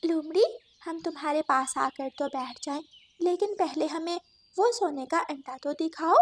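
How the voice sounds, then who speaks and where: A woman's voice: high-pitched, unhurried, voice pushed up. A woman voicing a wary, suspicious forest bird in a children's cartoon, questioning and challenging. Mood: wary, demanding. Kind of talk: Hindi children's cartoon story acting.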